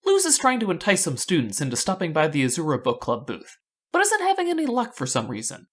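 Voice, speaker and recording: A woman's voice: lowish voice, wildly swooping pitch, quick pace. A woman narrating scripted fan analysis as a video host, with a wry, rhetorical delivery.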